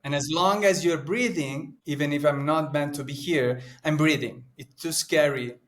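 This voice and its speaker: A man's voice: a little high, moderate pace. A man in his thirties from Italy, speaking English with an Italian accent as an interview guest, earnest and reflective, often restarting his sentences. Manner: earnest, searching for words, frequent restarts and "no?" tags. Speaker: guest, actor and painter.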